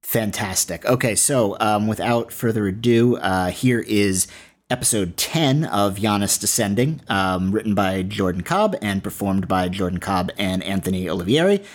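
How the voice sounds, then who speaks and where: A man speaking as a podcast host, giving an informal introduction in halting phrases. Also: lowish voice, animated.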